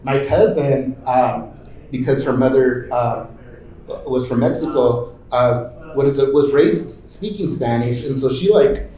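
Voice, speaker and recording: A man moderating a panel and then sharing his own story in loose, halting talk full of fillers and restarts. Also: medium pitch, dark tone, reverberant, rough recording. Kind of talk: casual conference talk. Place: conference room.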